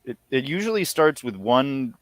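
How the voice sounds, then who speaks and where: A man's voice: mid-range voice, very animated, normal speed, dry recording. A man chatting casually as an interview guest, with a laid-back, wry manner. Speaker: podcast guest, audio series co-creator.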